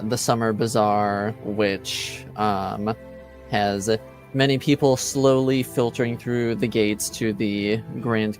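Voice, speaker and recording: A low-voiced man acts as game master for a tabletop game. He talks at an unhurried pace, halting often as he searches for words, with faint music underneath. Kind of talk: narrating a tabletop roleplaying game.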